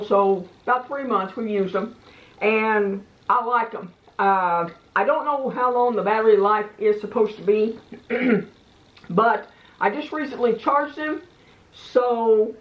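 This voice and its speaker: A woman giving a casual hands-on product review in a plain-spoken, folksy, meandering way. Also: on the high side. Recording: echoey room, slightly muffled sound.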